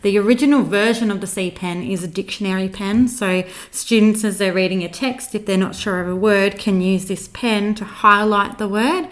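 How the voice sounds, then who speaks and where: A woman's voice: medium pitch, normal speed, few pauses. A woman who is an educator, giving an informal talk on student learning tools. She speaks conversationally, with frequent fillers and restarts.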